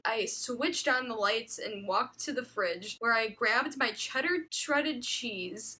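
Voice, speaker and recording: Bright-voiced young woman reading comic fanfiction. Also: fairly high pitch. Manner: animated, mock-serious, exclaiming. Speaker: co-host reading fanfiction aloud. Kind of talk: dramatic comic story reading with casual banter.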